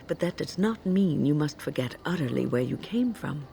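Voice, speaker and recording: A kindly older woman mage from a fantasy game, with a mellow, soft-edged voice. She speaks in a gentle, measured and consoling way, like a wise companion. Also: deep voice, expressive, gravelly, a little hiss or hum. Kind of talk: fantasy video game dialogue.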